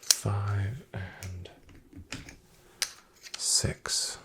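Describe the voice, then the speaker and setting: A man playing a shady, wryly menacing back-alley casino dealer in a soft-spoken, breathy ASMR roleplay, with an unhurried drawl. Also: on the low side, background noise. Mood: calm dealing. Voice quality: whispery, breathy, gravelly. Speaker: card game dealer in a roleplay.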